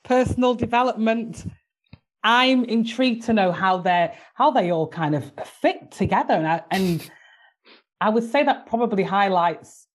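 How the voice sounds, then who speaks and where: A woman's voice: medium pitch, animated, normal speed. A woman podcast host and psychotherapist who welcomes her guest and asks questions in an encouraging, conversational way, often adding small affirming sounds. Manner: warm encouraging questions, frequent backchannel. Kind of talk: conversational interview hosting.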